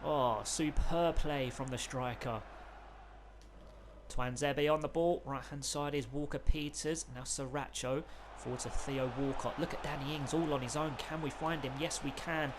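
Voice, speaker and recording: A man, who has a cold, doing excited play-by-play commentary over a football video game. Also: mid-range voice, a little slow, noisy background. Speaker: gaming YouTuber.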